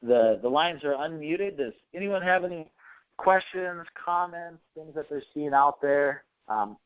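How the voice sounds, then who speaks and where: A man's voice: fairly high pitch, lively intonation, measured pace, clear, resonant tone, dry recording. A man hosting a conference call, speaking casually and a little hesitantly as he moderates. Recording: dull lo-fi conference phone line.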